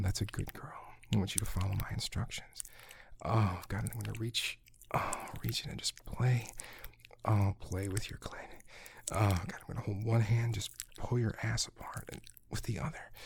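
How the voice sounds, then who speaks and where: A man speaking in a hushed, slow whisper for an intimate audio roleplay, with frequent low murmurs and sighs and a coaxing, commanding way of giving instructions. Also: gravelly, breathy edge.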